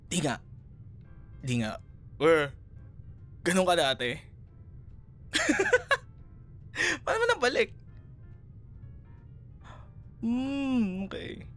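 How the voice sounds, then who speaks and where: A man's voice: high voice, very animated, extremely slow, pauses often, pushed and bright, faint music behind, heavily acted. A young man with a Filipino accent playing a playful, teasing ex-boyfriend in a casual, conversational audio roleplay, slipping between two languages. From Philippines. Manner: teasing, chuckles often, Taglish code-switching. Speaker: roleplay voice performer.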